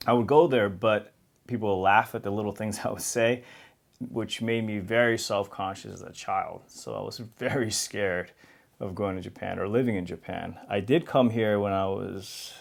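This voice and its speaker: Interview guest: New man, low gravelly voice, candid and easygoing, laughs through anecdotes. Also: steady tone, normal speed. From New York City. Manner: candid, laughs while telling stories. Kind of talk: casual interview conversation.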